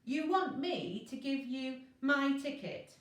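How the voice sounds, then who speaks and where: A woman's voice: high voice, voice pushed up. A woman voicing a haughty, self-righteous young female constellation spirit in a storybook reading. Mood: puzzled, innocent. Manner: brisk, self-righteous, argumentative. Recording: roomy echo, distant microphone.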